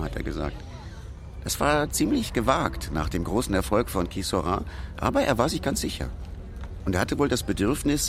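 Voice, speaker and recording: A man's voice: deep voice, a little hiss or hum. A man narrating a story for a radio feature in a storytelling style.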